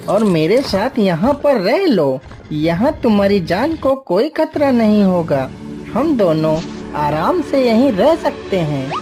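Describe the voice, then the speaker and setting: A woman's voice: medium pitch, very animated, slow pace, mellow, faint music behind. A woman voicing a sly, coaxing village man, the villain of a cartoon tale.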